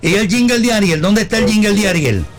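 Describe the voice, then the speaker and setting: A man's voice: sits high, lively intonation, quick pace, soft background music. A man hosting a live radio call-in show, bantering and teasing as he repeats his phrases. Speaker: radio talk show host.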